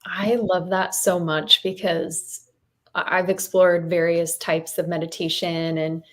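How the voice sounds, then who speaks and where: A woman's voice: lowish voice, measured pace. Chatty woman in a podcast talk. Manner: chatty, relaxed, agreeing, laughs easily. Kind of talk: casual podcast conversation.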